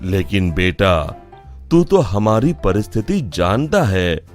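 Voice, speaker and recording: A man's voice: lowish voice, big pitch swings, slow pace, faint music behind, pitched below the performer's own voice. A man voicing a stubborn village father who dotes on his son, in an insistent, explaining manner for a children's story. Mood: apologetic.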